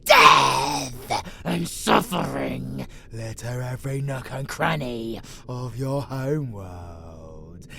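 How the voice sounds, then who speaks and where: A man playing a sadistic, gloating vampire monster in an audio roleplay, with a gravelly, menacing, foul-mouthed delivery that savors every word. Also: medium pitch, lively intonation, takes it slowly, pushed and bright, exaggerated voice. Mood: gleeful, savoring. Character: sadistic, gloating male vampire monster who relishes slaughter.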